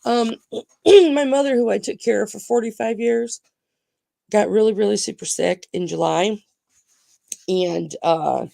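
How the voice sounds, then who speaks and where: Gravelly groggy-voiced woman auction host. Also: medium pitch, measured pace, pauses often, mellow. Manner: chatty, calls viewers honey. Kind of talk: live-stream sales chat.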